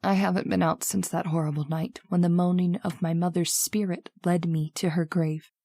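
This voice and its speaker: A woman's voice: on the low side, steady tone, moderate pace, dark tone, dry recording, studio quality. A woman playing a haunted young wife in an old-time radio horror drama, with an earnest, dramatic delivery. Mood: somber, haunted.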